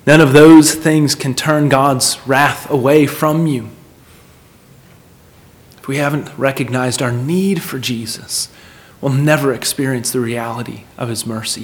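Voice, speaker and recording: A man preaching a sermon in an earnest, emphatic manner, repeating phrases for weight and putting questions to his listeners. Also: on the high side.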